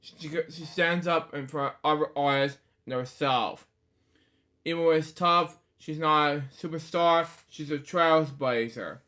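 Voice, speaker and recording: Halting young man, slightly high voice. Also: sharp tone. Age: 20s. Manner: halting, stumbles over words while reading; rambling asides with repeated catchphrases. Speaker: host of a story reading series. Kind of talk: casual read-aloud with off-the-cuff commentary.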